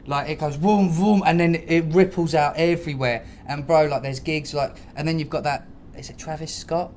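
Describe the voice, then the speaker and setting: Guy podcast host w a slightly high voice, swears a lot, casual storytelling, noisy background. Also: moderate pace. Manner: animated storyteller, sweary, slangy, mimics noises and dialogue.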